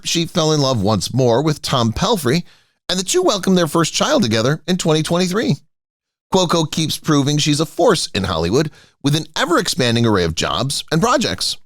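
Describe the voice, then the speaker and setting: A man's voice: fairly high pitch, no echo, studio quality. A man reading a scripted celebrity retrospective as a voice-over, lifting each name as a heading. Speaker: narrator.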